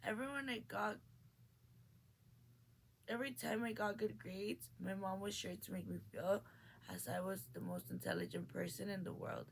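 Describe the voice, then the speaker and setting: Earnest teenage girl with a gravelly edge. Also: medium pitch, animated, normal speed, pauses often, slight background noise. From Mexico. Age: late teens. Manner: earnest, reads with small restarts. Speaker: graduating high school student. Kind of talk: reading a prepared personal speech.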